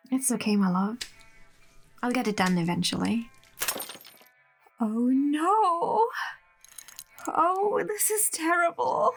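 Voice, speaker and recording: A woman's voice: fairly high pitch, gravelly, exaggerated voice. A woman acting a sweetly obsessive young woman in a radio drama, cooing and chatting as if to a lover. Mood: flustered. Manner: cooing, chatty, self-talking.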